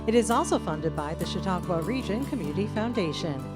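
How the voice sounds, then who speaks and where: Woman, radio announcer, lively lilting pitch, slightly low voice, loud music underneath. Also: brisk. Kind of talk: reading funding and sponsor credits.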